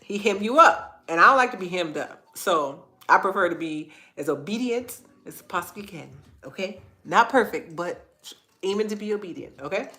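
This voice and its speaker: A woman's voice: mid-range voice, expressive, normal speed, bright, forceful tone, soft background music. A woman hosting an inspirational faith-based livestream, earnest and repeating phrases for emphasis, her nose stuffy and congested. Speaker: host of a live online talk.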